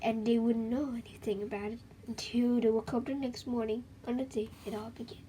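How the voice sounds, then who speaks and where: A woman reading a children's book aloud in an expressive, dramatic way, with chatty asides to her young listeners. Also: a little high, a little fast, slight background noise, low-quality recording.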